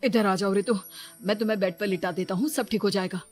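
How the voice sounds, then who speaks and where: A woman's voice: mid-range voice, steady tone, a little fast, a little mellow, soft background music, natural voice. A woman playing caring relatives of a pregnant wife in a cartoon story, soothing and reassuring. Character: caring husband and mother-in-law, soothing and reassuring. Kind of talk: Hindi animated moral story acting.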